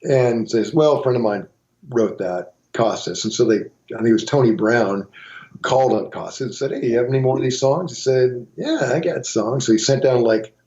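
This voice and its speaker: Middle-aged man, from Montana, dark mellow voice, rambling casual storytelling, muffled audio. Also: mid-range voice, normal speed. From Montana, USA. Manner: rambling, with many restarts and asides. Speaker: guitarist and songwriter, interview guest.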